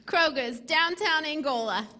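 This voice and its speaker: A woman's voice: high voice, lively intonation, a little slow, pushed and bright. A woman reading scripted narration and adverts in the style of a 1940s radio show. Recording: clear background noise.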